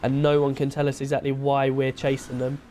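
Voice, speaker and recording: A British man playing a police officer in a game roleplay, asking questions and giving brisk orders over the radio. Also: medium pitch, completely flat delivery, warm tone, a little hiss or hum.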